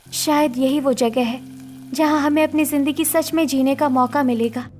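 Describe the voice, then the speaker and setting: A woman's voice: sits high, fairly even intonation, moderate pace, a little mellow, a little hiss or hum, lightly acted. A woman voicing a yearning young sister and new bride in a cartoon story, earnest and plaintive. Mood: hopeful.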